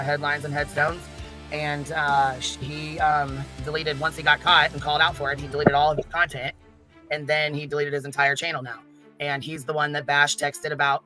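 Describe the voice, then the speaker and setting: A man's voice: fairly high pitch, pushed and bright, poor audio. A man arguing in an informal, heated way, blunt and emphatic, with constant swearing.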